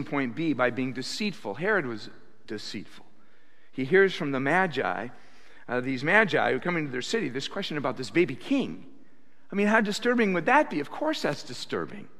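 A man in his sixties preaching a sermon at a pulpit, animated, with bursts of loud acted quotes, speaking into a stand mic in a church sanctuary. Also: mid-range voice. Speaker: pastor. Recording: reverberant church hall.

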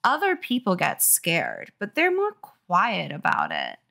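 A woman's voice: on the low side, huge pitch swings, slow, vocal fry, sharp tone, heavily acted. Woman playing a drawling, placating schoolgirl friend. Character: matter-of-fact, gently teasing schoolgirl best friend. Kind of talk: comic audiobook reading.

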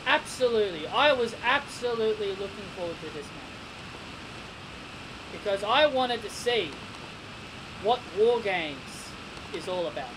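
A young man giving a casual wrestling review from his bedroom, excitable and repeating himself with stammering restarts, recorded on a desk webcam. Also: sits high, unhurried, pauses often. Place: small bedroom. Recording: noisy home webcam recording.

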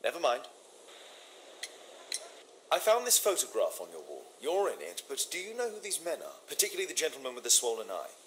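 A shrewd gentleman detective of Victorian London. He speaks in formal, articulate, probing phrases with composed authority, though the melody of his speech moves widely. It is dialogue for a video game. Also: mid-range voice, huge pitch swings, fairly quick, long pauses, slight background noise.

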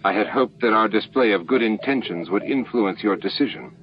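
A smooth, commanding alien ruler, acted by a male reader speaks with formal authority in a science fiction audiobook. The sound is dull, with faint noise behind. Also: lowish voice, brisk, natural voice. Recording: dull, noisy lo-fi book-on-tape recording.

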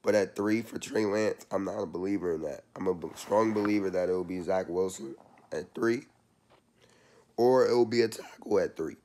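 A young man with a hoarse, worn-out voice giving a casual, slangy vlog update from a small room, recorded close on a laptop webcam. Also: sits low, moderate pace, a little mellow. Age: young adult. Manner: loose and chatty, slangy. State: hoarse, losing his voice. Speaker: sports channel vlogger.

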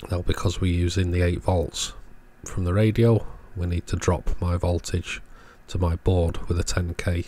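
A man's voice: low voice, flat delivery, normal speed, hardly any pauses, dark tone. A man giving an informal technical walkthrough as host of an electronics repair video.

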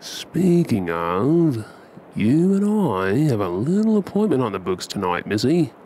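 A man's voice: mid-range voice, very animated, a little slow, dark tone, slight background noise. A man with an Australian accent playing a tender, playful husband and dad in an intimate ASMR role play, affectionate and coaxing. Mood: playful, teasing.

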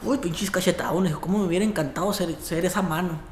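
A man in his thirties with a Mexican accent, telling a story in a casual vlog, profane and animated, acting out what others said. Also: sits high, fast talker, roomy sound, slight background noise. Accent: Mexican Spanish. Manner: animated, profane, acts out quoted voices with gestures. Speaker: barber and vlogger. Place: indoor barbershop with brick wall. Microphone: camera at desk distance.

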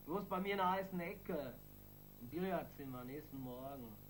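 Radio crime play: male actor as defiant small-time gang boss, slangy Berliner, sullen, on the higher side. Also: animated, moderate pace, a little hiss or hum, close to an everyday voice. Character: defiant male street gang boss under police questioning.

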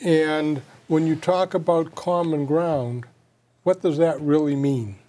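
A man's voice: a little high, steady tone, slow pace, warm tone. An older man hosting a local TV interview show, asking questions in a folksy, conversational way from a studio set. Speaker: TV show co-host. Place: dark studio set, seated at table.

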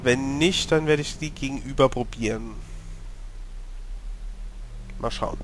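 A German-speaking man with a cold, slightly hoarse, giving chatty commentary while playing a horror game and talking to his character. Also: mid-range voice, unhurried, slight background noise. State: has a cold, clearing his throat. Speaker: let's play gamer. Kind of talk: casual gameplay commentary.

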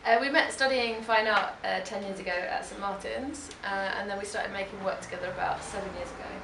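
A woman's voice: mid-range voice, fairly quick, vocal fry, noisy background. A woman in her thirties, a performance artist, chatting casually in an interview with lots of fillers and trailing phrases. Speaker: performance artist and cafe co-founder. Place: cafe venue indoors. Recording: echoey cafe room, a bit far, audible ambience.

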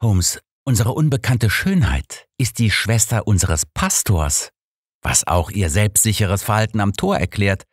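A man's voice: on the low side, lively intonation, unhurried, breathy edge, no room sound, clean studio sound, pitched above the performer's own voice. A man voicing an earnest detective's companion. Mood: excited, proud. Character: earnest, excitable male doctor, the detective's loyal companion. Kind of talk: audiobook character dialogue.

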